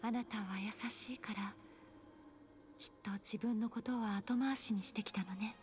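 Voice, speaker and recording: A woman voicing a gentle, caring mother spirit in a game cutscene, soft and half-whispered. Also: medium pitch, steady tone, unhurried, slight background noise, close to an everyday voice. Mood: tender. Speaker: game character. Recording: clear music behind.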